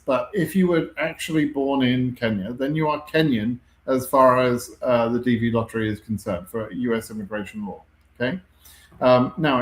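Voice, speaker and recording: A man's voice: mid-range voice, echoey room, rough recording. A man with a British accent hosting a live question-and-answer stream, talking conversationally with frequent ums and self-corrections. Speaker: host answering viewer questions.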